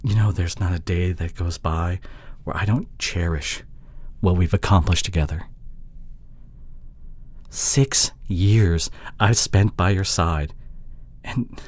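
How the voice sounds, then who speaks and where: A man playing an apologetic, insecure boyfriend in an intimate audio drama, speaking haltingly with sighs and breathy murmurs. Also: low voice, a little mellow. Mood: tender, longing. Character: apologetic, pleading long-distance boyfriend, insecure young man. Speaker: audio roleplay voice actor.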